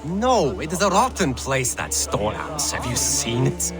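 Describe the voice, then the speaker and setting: An actor playing a grumbling, uneasy Norse camp worker in a video game. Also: male voice, medium pitch, big pitch swings, unhurried, music in the background. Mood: disgusted.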